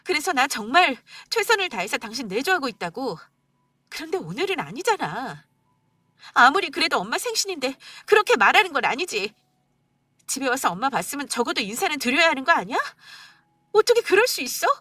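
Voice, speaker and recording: Woman voicing a wounded wife on the verge of tears, high-ish and pleading. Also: very animated, moderate pace, fairly bright tone, dry recording. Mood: indignant. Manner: tearful, indignant, voice breaking high. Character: hurt young wife pleading and arguing back.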